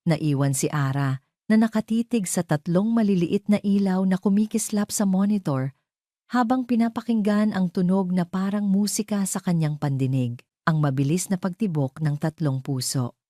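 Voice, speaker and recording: Low, mellow female story narrator. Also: normal speed, no room sound, studio quality. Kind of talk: scripted dramatic story narration.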